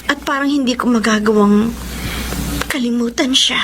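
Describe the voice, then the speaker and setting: Radio drama role: actress as tender-hearted, lovelorn young woman, fairly high, hesitant, noisy background. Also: moderate pace, lightly acted. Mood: wistful. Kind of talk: Filipino radio drama acting.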